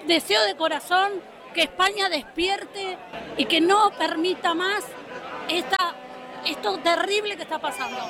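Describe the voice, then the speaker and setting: An Argentine woman gives her opinion in a spontaneous street interview. Her voice is very high and her delivery is emphatic and repetitive. A clear crowd surrounds her in an outdoor square. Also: background noise. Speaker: local resident interviewed.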